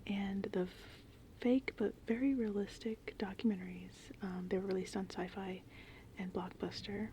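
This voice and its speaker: Softly whispering woman, rambling ASMR. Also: medium pitch, slight background noise. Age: 30s. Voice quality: soft, breathy whispering. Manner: informal, meandering, conversational. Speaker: ASMR host, horror film fan. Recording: rain and thunderstorm ambience behind. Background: gentle rain and thunderstorms.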